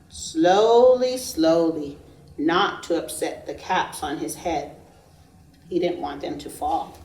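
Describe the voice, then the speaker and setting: Casting: woman teacher, children's read-aloud, slow and emphatic delivery, medium pitch, echoey recording. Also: darkish tone. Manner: emphatic, pausing to ask listeners questions. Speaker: preschool teacher. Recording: roomy echo, distant microphone.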